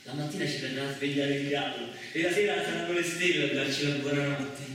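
A man on stage playing a restless idealist who dreams of a rural commune, in a repetitive, halting theatrical monologue that drifts between murmurs and exclamations. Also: mid-range voice, fairly bright tone, close to an everyday voice. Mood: weary, resolute. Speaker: solo stage actor. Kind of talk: theatrical monologue with bursts of singing. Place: reverberant performance space, live before listeners. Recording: echoey hall, distant mic, music behind.

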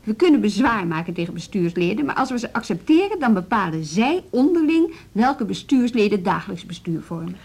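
Radio drama, assertive woman on school leadership, Netherlands Dutch accent, soft mellow tone, slightly husky, brisk delivery. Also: mid-range voice, sing-song. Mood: firm, insistent.

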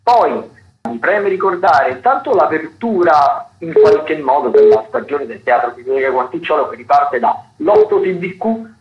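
A male radio host from Rome presenting the week's theatre listings. He hesitates a lot, with many fillers and restarts. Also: medium pitch. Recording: dull lo-fi radio broadcast.

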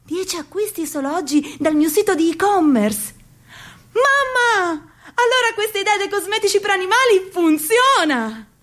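A woman's voice: very high voice, very animated, normal speed, fairly bright tone, heavily acted. A woman playing a restless young woman who dreams of her own startup, in a theatrical radio monologue with dreamy, self-mocking phrasing. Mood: excited. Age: young adult.

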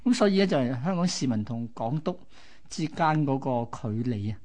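A man's voice: mid-range voice, expressive, moderate pace, a little mellow. A man with a Hong Kong accent, a co-host telling stories conversationally in short, colloquial explanatory phrases. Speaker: radio co-host. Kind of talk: conversational storytelling.

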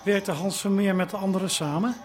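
An actor playing a matter-of-fact police detective in a Dutch radio drama, speaking in a businesslike way. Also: male voice, sits high, steady tone, a little fast, soft background music, lightly acted. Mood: probing.

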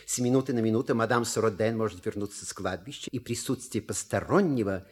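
A man's voice: on the low side, fast, darkish tone, husky. A man playing a suave middle-aged valet in an audio stage comedy, formal and deferential with dry irony. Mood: polite, guarded. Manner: formal, deferential, drily ironic.

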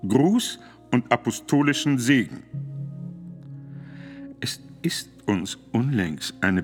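An actor playing a solemn, authoritative medieval pope who formally declaims a decree in a measured, emphatic way for a radio play. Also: male voice, on the low side. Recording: studio radio drama with music behind.

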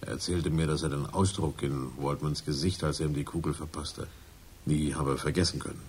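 An actor playing a nervous, self-justifying crook confessing in a radio crime drama, halting and colloquial. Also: male voice, rumbling low, fairly even intonation, slight background noise. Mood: matter-of-fact, subdued.

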